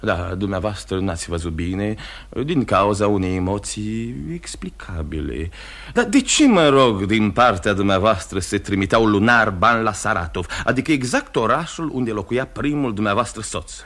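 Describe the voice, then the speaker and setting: An actor playing a formal, courteous but pressing investigating magistrate in a radio drama, often repeating his phrases haltingly. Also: lowish voice, lively intonation, a bit bright. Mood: insinuating, persuasive. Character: smooth, insistent male investigating magistrate, courteous yet pressing. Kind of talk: mid-century radio theatre acting.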